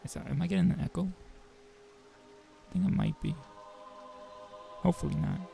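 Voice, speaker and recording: A man with a gravelly voice reading a visual novel aloud as a game player, mixing narration with informal joking asides. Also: fairly high pitch, wildly swooping pitch, normal speed, mellow, faint music behind. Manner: informal, joking commentary between read passages.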